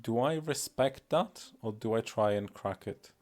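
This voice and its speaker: A man's voice: medium pitch, unhurried, a little mellow, faint music behind. A man with a Polish accent, a native Polish speaker, streaming casually and rambling with many fillers and self-deprecating remarks. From Poland. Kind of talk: casual live-stream commentary.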